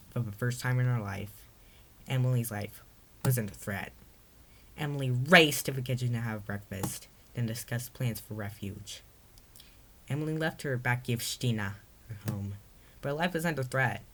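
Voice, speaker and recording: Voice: medium pitch, fairly bright tone, a little hiss or hum. A narrator reading their own story aloud in a storybook read-aloud style.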